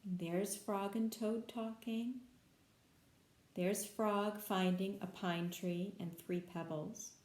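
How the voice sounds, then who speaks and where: A woman reading a storybook aloud for young children, with expressive, simple child-directed talk. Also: medium pitch, slow. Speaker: pre-K read-aloud host. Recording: echoey room.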